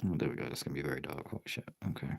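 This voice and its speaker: Creaky-voiced streamer thinking aloud. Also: a bass voice, very fast. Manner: thinking aloud in short, informal, sweary remarks. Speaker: streamer making art. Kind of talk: casual chat while working, muttered self-talk. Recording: clearly noisy, with clicks.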